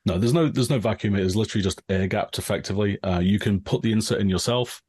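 A middle-aged man with an English accent, a guest calmly explaining gear with frequent fillers. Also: lowish voice, fast talker, slightly rough, no echo. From northern England. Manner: relaxed, explanatory, with many fillers. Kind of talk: casual explaining.

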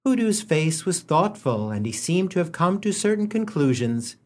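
A man's voice: on the high side, big pitch swings, normal speed. A man playing a wry humorist narrator in a comic radio play, telling the story with mock solemnity and dramatic emphasis. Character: wry humorist narrator gently mocking a boy's gifts.

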